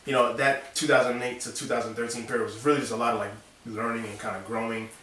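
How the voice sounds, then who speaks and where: A man's voice: medium pitch, fast. A man talking casually as an interview guest, rambling with lots of fillers. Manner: relaxed, rambling, many fillers. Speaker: interview guest, design studio owner. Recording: echoey room, distant mic, faint noise.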